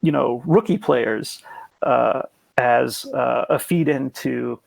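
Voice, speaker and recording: Gravelly man explaining things casually. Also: mid-range voice, takes it slowly, no echo. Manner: rambling, hedging explainer. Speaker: podcast guest explaining esports. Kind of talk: casual explanatory podcast talk.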